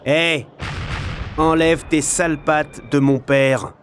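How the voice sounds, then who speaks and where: A man playing an eager, boyish young panda hero in a video game, enthusiastic and expressive. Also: on the high side, slow pace, noisy background. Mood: defiant. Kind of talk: video game voice acting, narration and dialogue.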